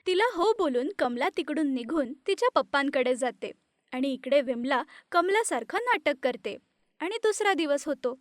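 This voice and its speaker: A woman narrating an animated moral story in a plain, even storytelling manner. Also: sits high, normal speed, dry recording, clean studio sound.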